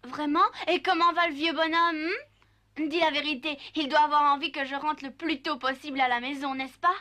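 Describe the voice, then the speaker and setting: A woman's voice: high-pitched, fairly even intonation, a little fast, bright, forceful tone. A woman voicing a mischievous, easily distracted little wooden puppet boy in a French cartoon dub, cheeky and hesitant. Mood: reluctant, questioning. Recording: music behind the voice.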